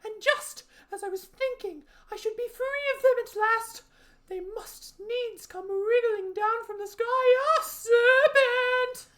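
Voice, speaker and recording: A woman voicing a frantic, indignant, suspicious pigeon from a storybook, scolding in drawn-out shrieks. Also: extremely high pitch, a bit bright, voice pushed up, full character voice. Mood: shrieking alarm. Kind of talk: storybook character voice.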